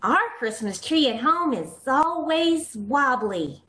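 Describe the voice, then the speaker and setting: A woman's voice: sits high, sing-song, takes it slowly, a bit bright, heavily acted. A woman playing an eager, chatty little neighbourhood girl in an old-time radio comedy, pestering with repeated questions, recorded over a video call. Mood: excited, chatty. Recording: roomy video-call sound.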